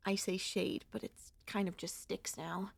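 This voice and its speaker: A woman voicing a gentle, wistful and anxious young centipede monster girl in an ASMR audio roleplay, speaking softly and hesitantly in a conversational way. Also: mid-range voice, flat delivery, unhurried, vocal fry, husky, no room sound, full character voice. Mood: calm, reminiscing.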